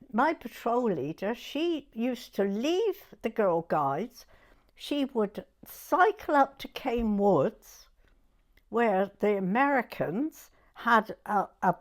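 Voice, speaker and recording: A woman in her nineties with a southern English accent, reminiscing informally in an interview in a chatty, halting way with plenty of "you know". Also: mid-range voice. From Dorset, England. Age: 90s. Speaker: oral history interviewee.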